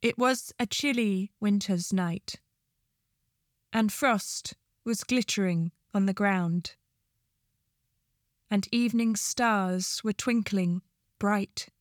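A woman reciting poetry in a slow, measured delivery. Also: medium pitch, dry recording, studio quality. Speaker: poetry reader, charity staff.

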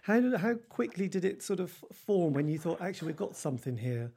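A man's voice: high voice, big pitch swings, brisk, dark tone, husky. A man hosting a radio show, talking in a casual, rambling way with many ums and self-corrections.

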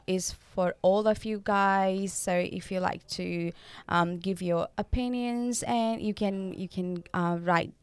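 Gravelly, smooth-voiced young woman co-host. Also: mid-range voice, no echo. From Nepal. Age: young adult. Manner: chatty, restarts often, many fillers. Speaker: podcast co-host. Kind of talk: casual chat.

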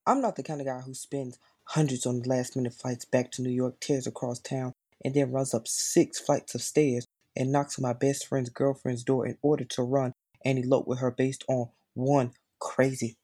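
A man performs a conflicted, lovestruck fact checker in a stage monologue. His voice is dark and raspy. He is intense and pleading, leaning hard on his words as he tries to stay rational. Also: mid-range voice, flat delivery, normal speed, natural voice. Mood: tense, restrained. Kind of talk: dramatic monologue reading.

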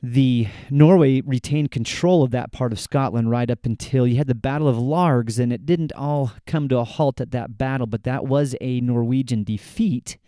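A man hosting a history podcast, talking at a measured pace and explaining things step by step, with frequent restarts. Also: mid-range voice, dark tone, clean tone, no echo, clean studio sound. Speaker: podcast host. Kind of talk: solo history podcast talk.

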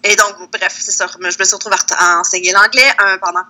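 A woman's voice: medium pitch, fairly even intonation, fast, pushed and bright. A woman with a Québécois accent from the Lac-Saint-Jean area, chatting informally and animatedly as she tells stories as an interview guest. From Quebec, Canada. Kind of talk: casual conversational storytelling.

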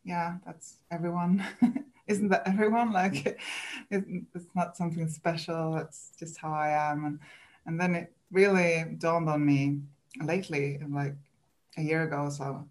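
A woman from Sweden with a Swedish accent, speaking English as a second language as a podcast guest. She talks reflectively and haltingly, with restarts and small laughs. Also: low-pitched, steady tone. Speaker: guest, coach. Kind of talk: interview.

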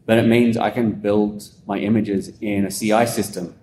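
An Australian man with a low voice talks through ideas in a loose, rambling way, full of ums and restarts. He is recorded in an echoey room at a conference, with a faint rumble behind him. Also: moderate pace. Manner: relaxed, rambling explainer with many fillers. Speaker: guest. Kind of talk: casual conversational interview. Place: conference venue.

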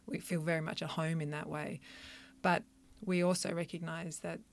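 A woman who practises Chinese medicine, answering interview questions in an earnest, thoughtful, soft-spoken way. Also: deep voice, little pitch movement, normal speed, creaky. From Australia, country Victoria.

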